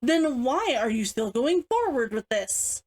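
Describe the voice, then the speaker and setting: A woman's voice: high voice, sing-song, measured pace, a little mellow, heavily acted. A woman reading the part of a stern, domineering older sister in a storybook, cold and clipped. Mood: stern, angry.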